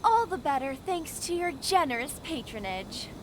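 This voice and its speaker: An actress playing a polite, deferential shopkeeper in a video game, courteous and ingratiating. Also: female voice, high-pitched, very animated, moderate pace, slight background noise. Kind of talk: acted video game dialogue. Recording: game music behind the voice.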